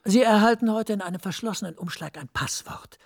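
An actor playing a scheming professor in a radio drama, speaking in a hushed, confiding way that often drops to a whisper. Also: male voice, high voice, fairly quick, creaky. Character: scheming male professor, smooth-talking superior.

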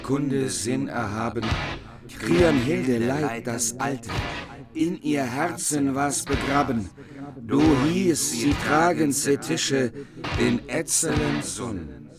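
A man solemnly recites archaic verse at a slow pace. His voice is mid-pitched, with guitar music playing loudly along with it.

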